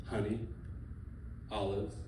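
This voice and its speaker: A man with a fairly low voice reads his poems aloud at a live event, breaking into loose, joking banter between pieces. He stands back from the mic, and the sound carries through a roomy hall. Steady room noise sits underneath him. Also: takes it slowly, recorded from a distance. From Philippines. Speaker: poet. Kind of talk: live poetry reading with banter. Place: live reading venue. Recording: roomy live venue, off-mic, audience ambience.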